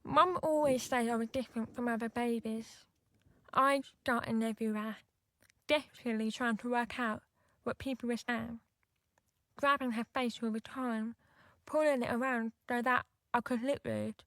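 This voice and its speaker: A woman's voice: fairly high pitch, steady tone, normal speed, fairly bright tone, no room sound. A woman with a British accent playing a frustrated, defiant deaf teenage girl in a radio drama, sometimes confiding as narrator. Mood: confiding, wounded.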